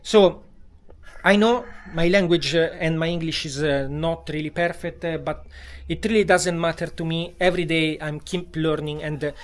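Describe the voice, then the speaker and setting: A man's voice: fairly high pitch, fairly even intonation, moderate pace. A man with an Italian accent, a vlogger talking casually at home with many fillers and restarts. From Italy. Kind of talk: casual vlog talk. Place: home in a tropical town.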